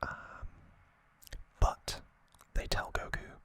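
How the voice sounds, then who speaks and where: An ASMR host whispering in a breathy, unvoiced voice, giving an informal recap in short, halting phrases. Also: a bit bright, dry recording.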